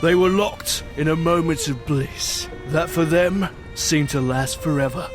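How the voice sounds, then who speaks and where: A man's voice: a little high, a little slow. A man narrating a scripted adventure story in a dramatic storytelling style. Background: music.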